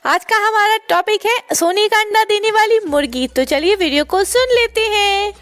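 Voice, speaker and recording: A woman's voice: very high voice, moderate pace, fairly bright tone. A woman narrating a moral story for children as a scripted reading, hosting her own storytelling channel. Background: background music.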